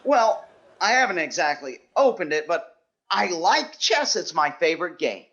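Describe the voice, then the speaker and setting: A man's voice: sits high, very animated, moderate pace, bright, forceful tone, dull audio, exaggerated voice. A man playing a mild, bashful biology teacher in a radio sitcom, hesitant, naive and polite. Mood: bashful, puzzled. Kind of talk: 1940s radio sitcom acting on stage.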